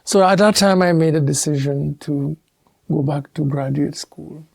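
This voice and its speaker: A man from Trinidad and Tobago, a theologian speaking as an interview guest in a measured, thoughtful way with pauses and restarts. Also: on the high side, gravelly, darkish tone, slightly rough, dry recording. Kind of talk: reflective interview conversation.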